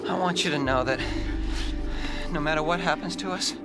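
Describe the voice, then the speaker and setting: Actor as high-voiced, sarcastic young American backpacker. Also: male voice, moderate pace, lightly acted. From United States. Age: young adult. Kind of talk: naturalistic radio drama acting. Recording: radio drama with music underneath.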